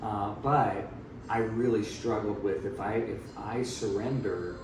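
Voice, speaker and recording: Echoey far-off man in his thirties, low. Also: unhurried. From grew up in Thailand. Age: 30s. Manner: relaxed, rambling with frequent fillers. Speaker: missionary guest. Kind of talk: casual storytelling. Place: room in Thailand. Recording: echoey room, distant mic, noisy.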